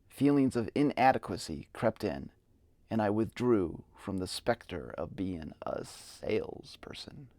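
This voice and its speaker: Expressive middle-aged man narrating a memoir. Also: medium pitch. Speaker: narrator and videographer. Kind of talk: dramatic reading of a personal memoir. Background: music bed.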